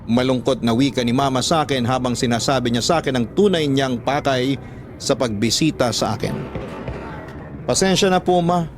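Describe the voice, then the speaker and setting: A man's voice: mid-range voice, fairly quick. A man with a Filipino accent reading a scripted first-person story as a dramatic radio narration. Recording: background music under the voice. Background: music.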